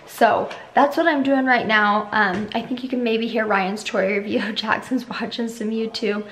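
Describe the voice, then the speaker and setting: Slightly hoarse, higher-voiced American woman. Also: fairly even intonation, moderate pace, a bit bright, slight background noise. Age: young adult. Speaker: family vlogger. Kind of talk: casual chat to camera. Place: kitchen and living room at home. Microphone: camera held at arm's length.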